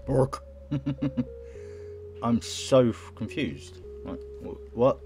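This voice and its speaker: A man's voice: mid-range voice, expressive, extremely slow, over music. A young man doing a let's play, reading game text aloud and adding bemused, muttered casual reactions. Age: young adult. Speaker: gamer playing on camera.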